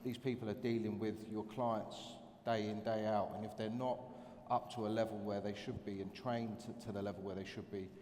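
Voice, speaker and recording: Halting low-voiced man on a panel. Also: little pitch movement, a little mellow. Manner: halting, repeats words while building points. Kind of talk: conference panel discussion. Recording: echoey conference hall with faint noise.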